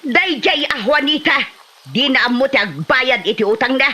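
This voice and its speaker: Picture an actress as an older Filipino woman lending money in a comedy drama. Her voice is high and sharp-edged. She complains and scolds, pushing hard for what she is owed. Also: animated, moderate pace, full character voice. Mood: indignant. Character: irate older woman, a pushy neighborhood moneylender demanding repayment. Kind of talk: Ilocano comedy drama acting.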